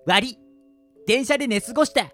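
A man's voice: extremely high pitch, fairly quick, pushed and bright, faint music behind. A man playing a careless, breezy young male student in an amateur radio drama. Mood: sheepish, bemused.

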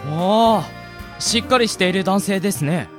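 A man's voice: high voice, moderate pace, over music, close to an everyday voice. A man playing a modest, polite and agreeable train hand strap in a comic radio drama. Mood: polite, curious.

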